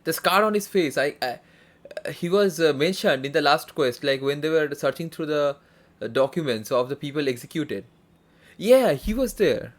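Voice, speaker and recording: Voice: mid-range voice, expressive, moderate pace. A game streamer giving casual live commentary, hesitant and muttering reactions to themselves. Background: game music.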